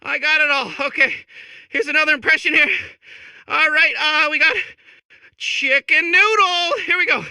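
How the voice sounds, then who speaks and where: A man's voice: extremely high pitch, moderate pace, sharp tone, no echo, heavily acted. A man voicing a zany, desperate comedian robot in a video game, stammering through showbiz patter and giggling at its own jokes. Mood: manic, self-amused. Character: manic, desperate, hacky comedian robot cracking itself up. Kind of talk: improvised-sounding comic video game acting.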